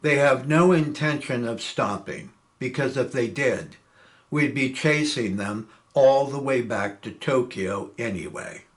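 A man's voice: medium pitch, unhurried, lightly acted. A man reading a play aloud, voicing a firm, commanding male squadron chief.

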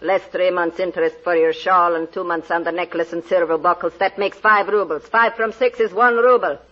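A woman's voice: fairly low pitch, steady tone, fairly quick, fairly bright tone. A mean old woman pawnbroker from a vintage radio play. She haggles in a curt, suspicious way, and the sound is dull and muffled with age. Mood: impatient, harsh. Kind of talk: 1940s radio acting.

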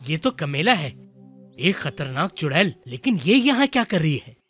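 A woman's voice: sits low, big pitch swings, normal speed, slightly rough, soft background music, heavily acted. A woman voicing an earnest young prince, dreamy and wary, in a theatrical children's fairy tale style. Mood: alarmed.

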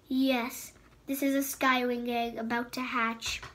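A woman's voice: on the high side, little pitch movement, slow, a bit bright. A woman voicing a scheming, venomous female sand dragon queen who hisses and mocks, reading a storybook aloud. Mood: scornful. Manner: menacing, mocking, hissing taunts. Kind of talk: storybook read-aloud voice acting.

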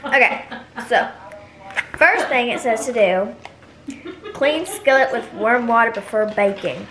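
A woman's voice: on the high side, animated, a little slow, noisy background. A young teenage girl with an American accent, chatting informally in a casual home cooking vlog. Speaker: vlogger. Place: home kitchen, indoors. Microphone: camera at arm's length.

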